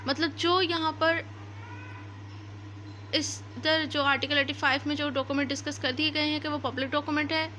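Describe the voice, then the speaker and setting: A woman with a Pakistani accent delivers an instructional lecture in a high, bright voice. She explains step by step and rephrases points for clarity, switching into English terms. Faint noise sits behind her. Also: rough recording. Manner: didactic, repeats and rephrases points. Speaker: law lecturer.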